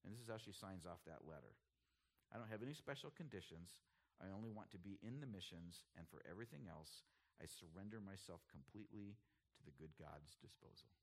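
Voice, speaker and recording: A middle-aged man preaching, his voice rising and falling in lively swings. He drops to a near hush for weight, then pushes up loud and urgent to drive a point home, always in the rhythm of the pulpit. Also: low-pitched, fairly quick, creaky. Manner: animated preaching, swings from hushed to emphatic. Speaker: pastor. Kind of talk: sermon.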